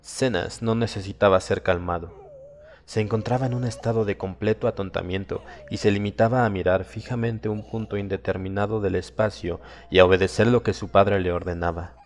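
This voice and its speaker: A man narrating a horror audiobook in a dramatic, solemn and suspenseful manner, with deliberate phrasing. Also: lowish voice, steady tone. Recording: long reverb, music behind. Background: music.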